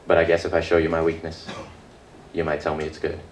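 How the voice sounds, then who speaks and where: A man performing his own spoken word poetry at a live reading, in a rhythmic, dramatic delivery with deliberate pauses. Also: deep voice, completely flat delivery. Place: indoor book release reading before an audience. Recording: echoey live room with background noise.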